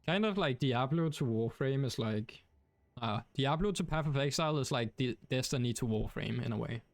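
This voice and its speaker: A man's voice: medium pitch, moderate pace, gravelly, no echo. A young man from Denmark with a Danish accent, chatting casually while streaming a game, rambling with many fillers. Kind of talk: casual gaming stream chat.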